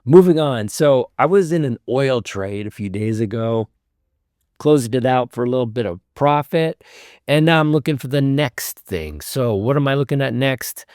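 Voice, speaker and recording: A man with a hoarse voice from a cold, hosting a casual show where he talks through trading charts, thinking aloud in an easygoing way. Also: mid-range voice, expressive, normal speed, darkish tone, no room sound, clean studio sound. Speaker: host.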